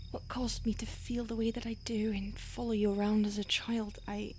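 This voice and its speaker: A conflicted female vampire, voiced by a woman breathes her confession in a close, soft whisper, her tone sitting a little high. She sounds obsessive and half-apologetic, a predator barely keeping composed. Faint crickets chirp in the night air behind her. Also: slight background noise. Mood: craving, apologetic. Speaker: audio roleplay performer. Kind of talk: whispered audio roleplay.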